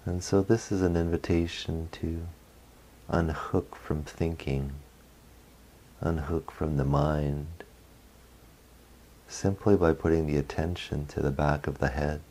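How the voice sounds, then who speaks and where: A man leading a guided meditation as a guide, speaking gently in short phrases with long pauses. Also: extremely low pitch, fairly even intonation.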